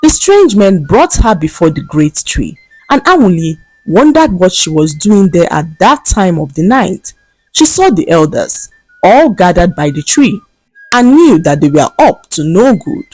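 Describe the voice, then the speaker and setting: A woman narrating a scripted folktale as a storyteller, in a dramatic, expressive manner. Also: lowish voice, unhurried, husky, no echo, poor audio. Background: faint background music.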